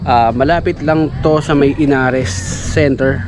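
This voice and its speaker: A man's voice: medium pitch, steady tone, unhurried, noisy background. A man in his thirties chatting casually to a hand-held camera while reviewing food at an open-air rooftop restaurant. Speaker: food vlogger. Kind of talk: casual vlog chat. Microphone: camera held at arm's length.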